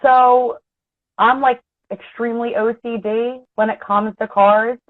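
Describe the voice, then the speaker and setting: A woman's voice: on the high side, steady tone, a little slow, no room sound. A woman with a Philadelphia accent tinged with North Jersey, chatting casually and bluntly as an interview guest over a video call, laughing easily. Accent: Philadelphia with some North Jersey. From Philadelphia area, USA. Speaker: guest, auto body and paint tech. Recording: tinny dry call audio.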